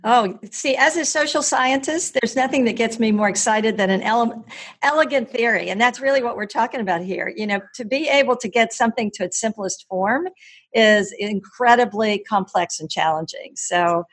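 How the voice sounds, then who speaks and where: A woman's voice: on the high side, normal speed. Enthusiastic woman interviewer. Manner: enthusiastic, gushing praise. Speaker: podcast host and professor. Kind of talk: interview hosting.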